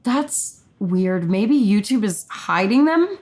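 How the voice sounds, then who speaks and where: A young woman co-hosting a live game reaction stream, chatty and excitable, breaking off to answer the chat. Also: mid-range voice, slow pace. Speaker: co-host, musician.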